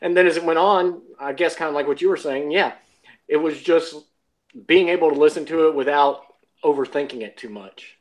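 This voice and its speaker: A man's voice: fairly high pitch, a little fast, rough recording. A man chatting casually as a voice actor guest on a podcast, earnest and reflective. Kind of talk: casual chat.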